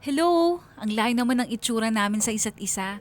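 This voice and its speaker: A woman's voice: on the high side, lively intonation, fairly quick, soft background music, lightly acted. An actress playing a young college woman narrating her love story in a sentimental, conversational Filipino radio drama. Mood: irritated.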